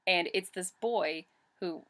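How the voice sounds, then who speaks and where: A woman's voice: fairly low pitch, slow pace, a bit bright. A woman in her twenties, chatting enthusiastically about a book she read and correcting herself as she goes, on a webcam in her bedroom. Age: 20s. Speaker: book reviewer. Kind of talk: casual book review vlog. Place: bedroom in front of a bookshelf.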